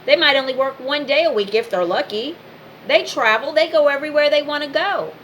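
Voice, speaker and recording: A woman's voice: high-pitched, brisk, fairly bright tone, a little hiss or hum. A woman giving an impassioned, informal monologue straight to the listener, speaking in emphatic, repetitive short phrases.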